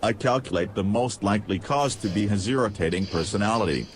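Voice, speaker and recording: Man voicing a deadpan droid over clear noise. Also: lowish voice, brisk, natural voice. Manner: deadpan, formal, calculating phrasing. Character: blunt, literal-minded tactical droid co-pilot. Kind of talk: audio drama acting.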